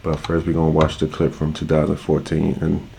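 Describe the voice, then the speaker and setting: Deep, gravelly-voiced man hosting a reaction video. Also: a little fast, slight background noise. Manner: relaxed, loose, with frequent fillers. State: stuffed up from allergies. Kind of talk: casual reaction commentary.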